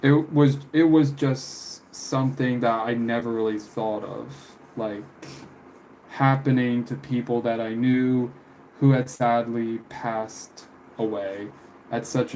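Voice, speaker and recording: A young man in his twenties talks casually on a live stream at an unhurried pace. He is halting and reflective, often letting sentences trail away. There is faint noise behind his voice. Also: mid-range voice, warm tone, low-quality recording. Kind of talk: casual live stream chat answering viewers. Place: room with window blinds, indoors.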